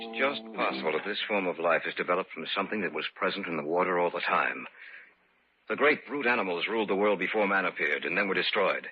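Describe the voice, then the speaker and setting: An actor playing a grave, urgent scientist in an old-time radio horror drama, intense and ominous. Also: male voice, low-pitched, animated, fast, breathy edge. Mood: grave foreboding. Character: grave, urgent scientist fearing a deadly new life form. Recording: muffled, noisy vintage radio recording.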